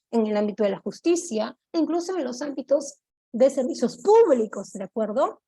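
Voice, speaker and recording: A woman giving an online law lecture, explaining step by step, often checking in with her listeners and pausing on "eh". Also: on the high side, darkish tone. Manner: explanatory, checks in with "¿de acuerdo?", frequent "eh" fillers.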